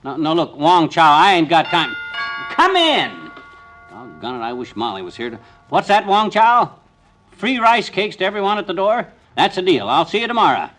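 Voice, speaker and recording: An actor playing a blustery, impatient small-town schemer of a husband in a 1950s radio comedy, talking in a colloquial, hurried way. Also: male voice, a little high, big pitch swings, a bit bright, faint music behind. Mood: wheedling, impatient, then flustered, loud. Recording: old radio recording, faint noise.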